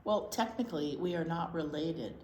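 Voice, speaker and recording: A woman's voice: deep voice, expressive, moderate pace, darkish tone, pitched below the performer's own voice. A woman voicing a stubborn young girl from a picture book, stiffly polite and grudging. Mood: sulky, grudging. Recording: roomy echo with background noise.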